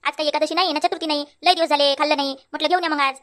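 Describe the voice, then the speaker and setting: A woman voicing a sharp-tongued, bossy village wife in a comedy cartoon, scolding in rural Marathi of Vidarbha. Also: sits high, fairly even intonation, racing. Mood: matter-of-fact. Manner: scolding, nagging.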